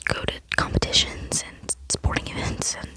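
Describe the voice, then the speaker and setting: A woman's voice: moderate pace, rough recording. A woman in her late teens whispering an earnest, rambling ASMR chat into a close microphone. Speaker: ASMR vlogger.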